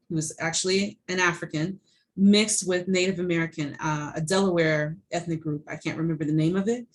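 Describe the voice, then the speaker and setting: A middle-aged woman lecturing to students over a set of slides, her voice sitting somewhat low for a woman. She talks loosely and conversationally, pausing on ums and restarting her sentences as she goes. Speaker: college professor.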